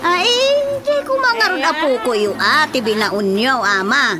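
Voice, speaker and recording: A flustered village woman with a high voice and Ilocano accent. She stammers, pleads and exclaims in radio-comedy style, nearly swamped by very loud music. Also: very animated, moderate pace. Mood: flustered, pleading. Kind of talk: radio drama comedy acting.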